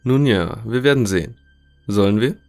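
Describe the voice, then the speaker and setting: A man's voice: on the low side, expressive, normal speed, a little mellow, soft background music. A man playing a courteous, inquisitive scientist conducting an interview in an audio drama, matter-of-fact. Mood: businesslike, curious.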